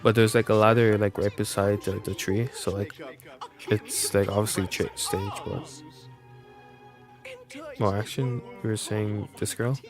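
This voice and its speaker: A young man with a fairly low voice chats casually on a game livestream. His delivery is halting and rambling. Faint music plays behind him. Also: steady tone. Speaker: game streamer.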